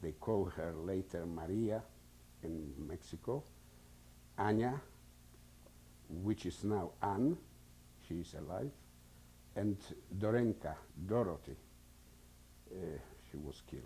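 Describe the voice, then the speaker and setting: A man in his seventies from Poland with a Polish accent, giving oral history testimony slowly and hesitantly, often searching for English words. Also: low-pitched, lively intonation, mellow, a little hiss or hum, poor audio. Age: 70s. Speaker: interviewee.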